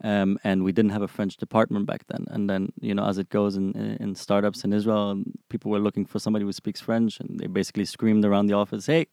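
A man's voice: lowish voice, level tone, fast talker, vocal fry, few pauses, warm tone, no echo, studio quality. A man in his twenties from Germany, answering interview questions conversationally as a guest. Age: 20s. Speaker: interview guest, startup employee.

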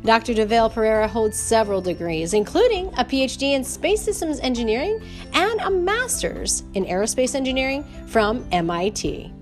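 A woman's voice: fairly high pitch, expressive, soft background music. A woman hosting a podcast, reading a scripted introduction and then welcoming a guest. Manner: reads announcements and spells out web addresses slowly. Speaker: podcast host.

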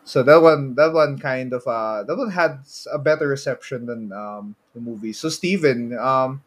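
A man with a Filipino accent chatting casually about movies as a podcast co-host, halting and prone to chuckling as he talks. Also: medium pitch, breathy edge.

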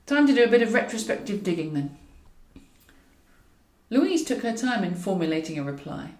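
Female storyteller, wry and animated audiobook read, echoey room sound. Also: medium pitch, moderate pace. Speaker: author reading own novel. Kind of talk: scripted audiobook narration of comic fiction. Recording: roomy echo, distant from mic.

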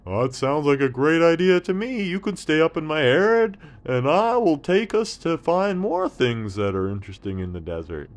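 A man's voice: a little high, very animated, moderate pace, few pauses, pitched above the performer's own voice. A man voicing a big, good-natured camel in a children's story, speaking in a polite, plain and slightly hesitant way. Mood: delighted, friendly.

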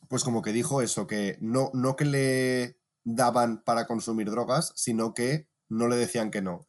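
A man hosting a casual podcast chat and running a quiz, relaxed and laughing often. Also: lowish voice. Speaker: podcast host.

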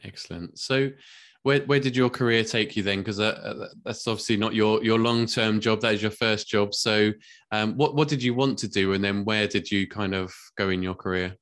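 A man's voice: fairly low pitch, normal speed, fairly bright tone. A man hosting a podcast interview, asking questions in a hesitant, supportive way with many repeated words. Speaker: podcast host.

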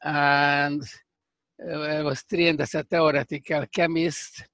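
An older man with a Hungarian accent, speaking as a professor guest in a remote interview, halting and restarting as he searches for words. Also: a little high, flat delivery, gravelly, a bit bright, dry recording.

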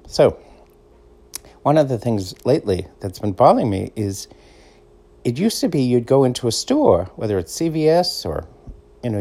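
A man's voice: lowish voice, vocal fry, warm tone. A middle-aged man with a Boston accent, hosting a casual solo podcast in a meandering, conversational way. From Boston area, USA. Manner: meandering, conversational, easygoing storyteller. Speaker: podcast host.

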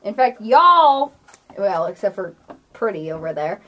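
A woman's voice: medium pitch, sing-song, a little slow, sharp tone, slight background noise. A woman chatting casually as a podcast host and game master, with a giggly, playful manner. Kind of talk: casual chat and tabletop game narrating.

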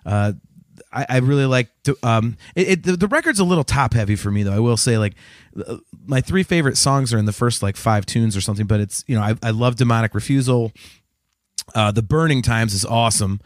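A man's voice: mid-range voice, brisk, dry recording, studio quality. A man co-hosting a music podcast, chatting in an informal, conversational way. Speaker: podcast co-host. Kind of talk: casual chat about music albums.

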